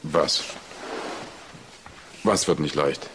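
A man's voice: a bass voice, extremely slow, fairly bright tone, a little hiss or hum, heavily acted. An actor playing a plain-spoken male police detective in a naturalistic crime audio drama. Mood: doubtful. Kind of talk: naturalistic German radio drama acting.

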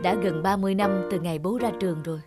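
A woman voicing a gentle, plain-spoken working father, middle-aged and humble, in an animated moral tale. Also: fairly low pitch, fast talker, close to an everyday voice. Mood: gentle interest. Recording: clear music behind the voice.

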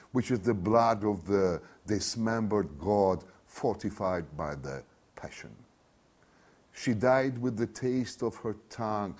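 A man in his fifties giving a dramatic, declamatory reading of literary prose, with deliberate pauses, as an author reads his novel. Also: deep voice, a little mellow. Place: small bare studio room, seated at a desk.

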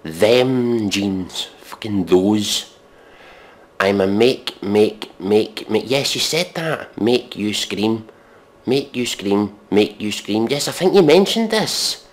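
Low-voiced, exasperated man reading in deadpan. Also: slow pace. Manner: exasperated, scornful, heavy swearing. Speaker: host giving a mock dramatic reading. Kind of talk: deadpan recitation of pop lyrics with sweary commentary.

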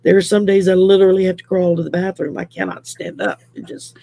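A woman's voice: on the low side, level tone, fast, vocal fry, crowd noise. A woman in her sixties from Louisiana chatting casually on a video call from home, rambling with lots of fillers. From Louisiana, USA. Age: 60s. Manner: rambling, many fillers, laughs often. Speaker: guest. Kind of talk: casual chat. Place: home room.

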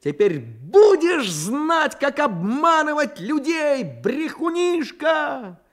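A man's voice: extremely high pitch, sing-song, takes it slowly, voice pushed up, full character voice. A man voicing a fiery, bossy tomboy girl in a radio story, exclaiming and demanding. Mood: triumphant.

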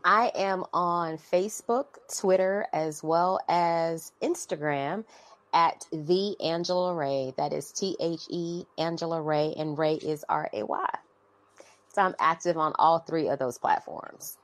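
A calm woman with a very low voice answers interview questions in an unhurried way. The recording is dry and close to the mic. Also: dry recording. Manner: calm, measured, soft-spoken. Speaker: guest. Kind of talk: casual interview talk.

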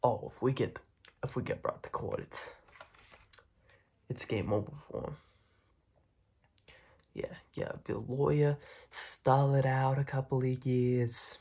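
A menacing, self-satisfied mob boss, played by a young man in an ASMR roleplay. He talks very slowly in halting, repeated phrases. His husky voice is full of creak and often sinks into a whisper, quietly intimidating. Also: mid-range voice. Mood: smug, confident. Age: young adult. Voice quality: breathy, raspy, often dropping into whisper. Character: smug, menacing mob boss recruiting a kid. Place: dim room at home, indoors.

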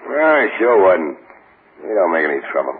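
A man's voice: deep voice, big pitch swings, brisk. A man playing a cocky, scheming condemned gangster with sardonic tough-guy slang and broken grammar, in old-time radio style. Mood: wry. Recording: muffled, hissy old radio recording.

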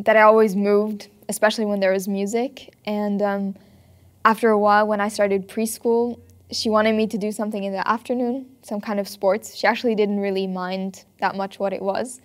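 A teenage girl from Switzerland, a ballet student, answering interview questions in a thoughtful, earnest way with frequent pauses. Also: medium pitch. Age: teens. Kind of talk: documentary interview. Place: bright ballet studio.

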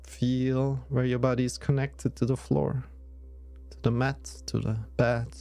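A man leading a guided yoga nidra meditation in a soothing, measured way with long pauses. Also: mid-range voice, level tone, gravelly, mellow, soft background music.

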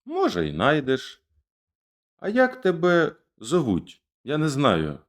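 A man's voice: fairly high pitch, huge pitch swings, slow pace, voice pushed up, exaggerated voice. A man voicing a fierce, commanding male Cossack rebel leader in a declaimed verse reading. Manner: rousing, declamatory.

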